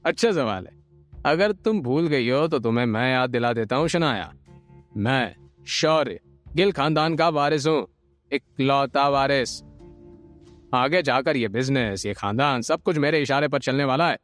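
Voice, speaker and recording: Audio drama rival, voiced by a man: arrogant young heir, taunting, animated delivery, music underneath. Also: mid-range voice, a little slow. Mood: gloating. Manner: smug, taunting. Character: arrogant, gloating young male heir of a rich family.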